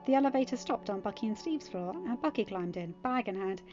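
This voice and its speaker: A woman's voice: fairly high pitch, animated, fairly quick, warm tone, muffled recording. A woman reading scripted story narration as an audiobook narrator. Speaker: podfic narrator. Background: music.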